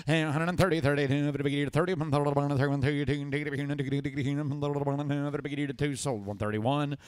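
A man's voice: on the high side, fairly even intonation, fairly quick, darkish tone, clean tone, no echo. A man auctioning cattle with a repetitive bid chant and folksy country asides. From United States. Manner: folksy country patter between bids. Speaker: livestock auctioneer. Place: indoor livestock sale barn.

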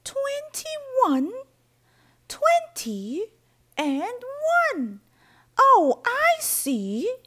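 A woman narrating and voicing toy characters for children in a bubbly, animated way, with drawn-out exclamations. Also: very high-pitched. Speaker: toy channel storyteller.

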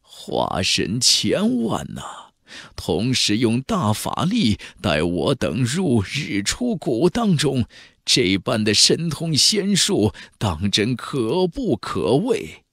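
A man's voice: medium pitch, wildly swooping pitch, gravelly, a bit bright, dry recording, studio quality, heavily acted. A man voicing an ancient clan elder in a fantasy audiobook, reverent and sorrowful, telling of the past in a dreamy, archaic manner. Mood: awestruck. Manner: slow, dreamy, archaic storytelling. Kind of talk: audiobook character voice in a fantasy novel.

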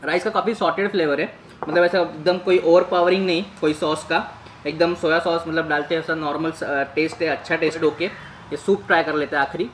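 Upbeat young man with a high voice. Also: a little fast, a little hiss or hum. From Maharashtra, India. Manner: chatty, loud and upbeat to camera outdoors, quieter and relaxed while eating. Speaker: food vlogger. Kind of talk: casual food review vlog. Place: outdoor street beside a bus, then inside a bus restaurant. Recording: background music under the voice.

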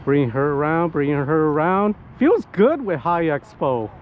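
A man giving casual, excitable live commentary outdoors to viewers as he pilots a model plane. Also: fairly high pitch, unhurried. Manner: excitable, whooping, talking to viewers while piloting. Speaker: hobbyist RC pilot and vlogger. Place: outdoors at a flying spot in wind and rain. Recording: muffled outdoor audio with background noise.

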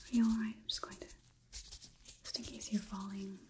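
A female robot overlord, played by a young woman, examines a human in an ASMR roleplay. The voice is a soft, mellow whisper at a middling pitch, measured and analytical. Faint noise and soft clicks sit behind it. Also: little pitch movement, close to an everyday voice. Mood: puzzled, clinical. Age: young adult. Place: small indoor room, plain wall.

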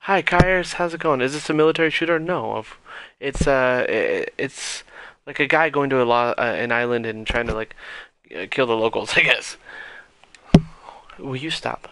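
A man's voice: mid-range voice, normal speed, bright, forceful tone. Chatty male streamer on a headset. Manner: chatty, reading chat aloud, self-aware about volume. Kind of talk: casual live-stream commentary.

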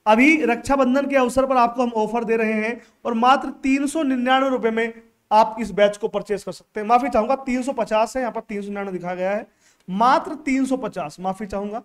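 A man's voice: very high voice, brisk. A man. He explains things like a coaching teacher at the board, stressing and repeating the key points. He speaks into a headset mic. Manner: emphatic, repeats key phrases. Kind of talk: explanatory lecture to camera. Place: indoor classroom studio in front of a digital board.

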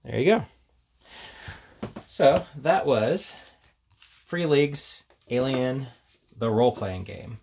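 A man's voice: medium pitch, expressive, slow pace, vocal fry, long pauses, a little mellow, slight background noise. A man giving a casual, off-the-cuff product review in an informal, chatty way as he presents. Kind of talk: casual unboxing and review chat.

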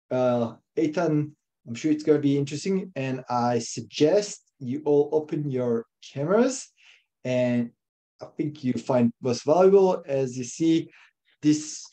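A man's voice: mid-range voice, dark tone. A man hosting a webinar, opening it in a loose, welcoming way with repeated words. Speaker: webinar host. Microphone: video call.

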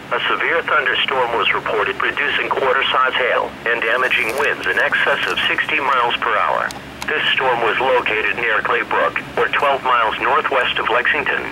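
A man's synthetic-sounding voice reading a formal, impersonal emergency weather bulletin from a radio speaker. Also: mid-range voice. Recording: noisy weather radio speaker, re-recorded in room.

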